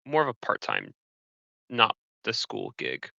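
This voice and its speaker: A man's voice: on the low side, huge pitch swings, unhurried, creaky, pushed and bright, dry recording. A man playing a tabletop game, chatting casually about what his character does, on a slightly dull, dry recording. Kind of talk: casual game chat, speaking for his character in third person.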